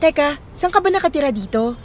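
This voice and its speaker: A high-voiced, inquisitive young woman. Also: expressive, brisk. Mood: friendly. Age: young adult. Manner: casual, conversational. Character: friendly, inquisitive young woman queuing at a bus terminal. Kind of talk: naturalistic radio drama dialogue in Tagalog.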